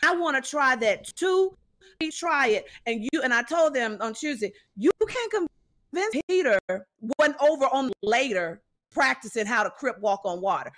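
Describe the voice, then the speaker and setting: Energetic higher-voiced woman guest. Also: moderate pace. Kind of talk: casual faith talk. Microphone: remote video call.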